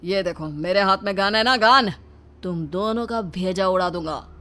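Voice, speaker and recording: A man's voice: sits high, moderate pace, pushed and bright. Man acting a blustering, pushy comic street robber.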